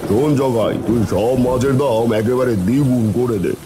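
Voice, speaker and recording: A man's voice: mid-range voice, normal speed, background noise, lightly acted. A man voicing a greedy, scheming village headman, the villain of a children's cartoon. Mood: smug scheming. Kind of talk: Bengali cartoon voice acting.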